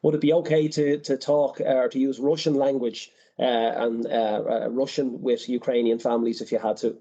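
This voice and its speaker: A man moderating an online panel, speaking in a measured way with many fillers. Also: mid-range voice, level tone, mellow. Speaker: webinar moderator. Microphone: video call. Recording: muffled video call audio.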